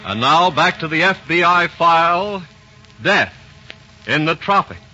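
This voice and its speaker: A man's voice: fairly high pitch, expressive, a little slow, loud music behind the voice. An American man announcing a sponsor message in polished, persuasive 1940s radio style. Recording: muffled old radio recording.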